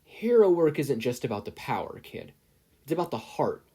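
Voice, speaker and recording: A man's voice: medium pitch, very animated, normal speed, mellow, husky, voice pushed up. A man voicing a hearty, earnest older hero and kindly mentor, speaking in an emphatic, encouraging way for an audiobook. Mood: earnest, serious. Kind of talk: audiobook character voice.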